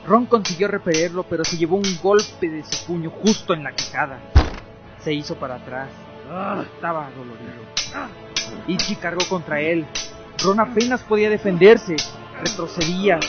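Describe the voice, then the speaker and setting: Narrator for audio drama: man, fairly high register, dramatic storytelling read, somewhat dull lo-fi recording. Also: normal speed. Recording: muffled audio with music. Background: faint music.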